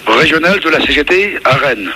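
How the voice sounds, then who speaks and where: A man answers the phone as a union official, stumbling over his words but staying patient and conciliatory. His voice has a bright, forceful edge. Faint noise sits under the radio call. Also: a little high, lively intonation, a little fast, rough recording. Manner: patient, hesitant, conciliatory, lecturing. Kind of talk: phone conversation.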